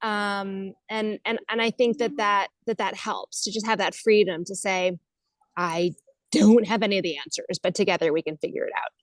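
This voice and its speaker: A woman's voice: mid-range voice, fairly even intonation, normal speed, dry recording. An American woman speaking informally as a webinar guest, thoughtful, hesitant and self-effacing as she reflects. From United States. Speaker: guest speaker, nonprofit leader. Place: online video call.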